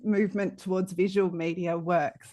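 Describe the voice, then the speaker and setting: A woman's voice: on the low side, level tone, moderate pace, mellow. A woman in a conversational panel discussion, asking questions tentatively and searching for her words as she goes.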